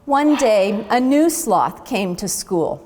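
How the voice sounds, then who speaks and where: A woman's voice: medium pitch, lively intonation, slow pace, a little mellow, a little hiss or hum. A woman working as a zoo educator, presenting a children's storytime in a reverberant barn. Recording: long echoing hall reverb.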